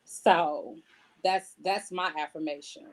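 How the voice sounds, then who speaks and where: A woman's voice: lowish voice, fairly even intonation, slow pace, low-quality recording. A woman speaking casually and conversationally as an interviewed author.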